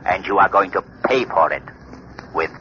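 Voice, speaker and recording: An actor playing a menacing invisible crime-fighting avenger in an ominous, declamatory 1930s radio drama style. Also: male voice, deep voice, lively intonation, moderate pace, a little hiss or hum. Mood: grave menace. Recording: muffled 1930s radio, music behind.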